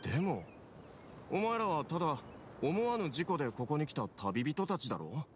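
Brusque, animated young railway caretaker. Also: male voice, on the high side, moderate pace, noisy background. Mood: skeptical. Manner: rough casual speech, animated. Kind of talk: Japanese anime game voice acting. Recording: music behind the voice.

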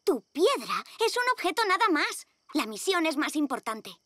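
A woman's voice: high voice, sing-song, moderate pace, no echo, studio quality, heavily acted. A woman voicing a sensible, confident young superhero girl in a cartoon, with an earnest manner. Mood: scolding. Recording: loud music behind.

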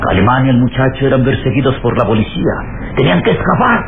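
A man's voice: mid-range voice, sing-song, quick pace. An actor playing a scheming, alarmist male accuser in a radio serial, speaking insistently and dramatically on a scratchy, tinny old recording. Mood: urgent accusation.

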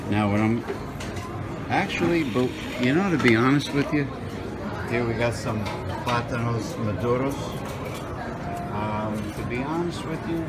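A man's voice: medium pitch, expressive, measured pace, pauses often, heavy background noise. A man giving a wry, chatty running commentary while filming a buffet on a handheld phone. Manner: chatty, wry, talks to himself while picking food. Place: indoor buffet restaurant. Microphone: handheld phone camera.

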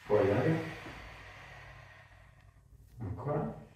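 A man's voice: on the low side, lively intonation, slow, dark tone. A man, giving short, direct instructions and questions as a chiropractor treating a patient. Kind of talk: hands-on clinical instructions and casual chat. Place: small bare treatment room, indoors. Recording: roomy, distant mic, faint noise, clicks.

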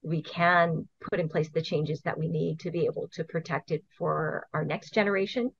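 A woman's voice: low-pitched, fairly even intonation, normal speed, gravelly, husky, dry recording. Middle-aged woman from hawaii on a video call, earnest, lays it out step by step, kinda muffled dry audio. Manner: earnest, explains step by step, laughs now and then. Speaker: invasive species program manager, guest. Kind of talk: casual interview answers.

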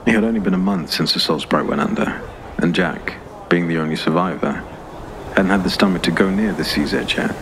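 A man reads dark fantasy fiction as a scripted audiobook narration. His voice is low and deep. Clear atmospheric noise sits behind him throughout. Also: brisk. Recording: clear background ambience noise.

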